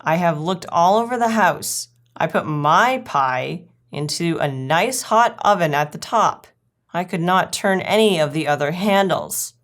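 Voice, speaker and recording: A woman's voice: lowish voice, animated, measured pace, a bit bright. A well-mannered lady dog paying a call in a storybook, as a woman reader puts her on. She is anxious and formal, with a fretful, mannered politeness. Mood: fretful, puzzled.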